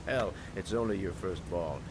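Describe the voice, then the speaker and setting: An actor playing a wealthy, influential businessman in a video game drama, speaking politely and condescendingly with quiet menace. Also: low-pitched, moderate pace, background noise, close to an everyday voice. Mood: courteous. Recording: music behind the voice.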